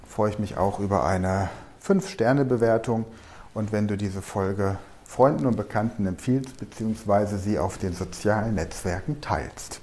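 Mellow-voiced German learning coach. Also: male voice, on the low side. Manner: enthusiastic, anecdotal. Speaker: podcast host and learning coach. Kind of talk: podcast intro and radio interview.

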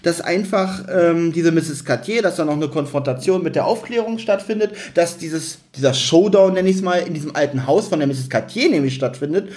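A man's voice: on the high side, brisk, hardly any pauses, darkish tone. A man hosting a casual review chat, speaking in a critical, argumentative way. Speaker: podcast host.

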